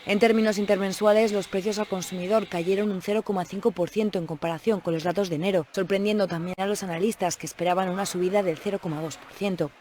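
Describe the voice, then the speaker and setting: A reporter reading a scripted news report voice-over in an even, formal manner. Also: medium pitch, fast, few pauses, slight background noise.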